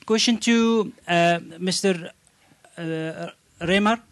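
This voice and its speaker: A man asking a panel questions, halting often with "uh" as he searches for words. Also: sits high, fairly bright tone, no echo. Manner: halting, many "uh" pauses. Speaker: audience questioner.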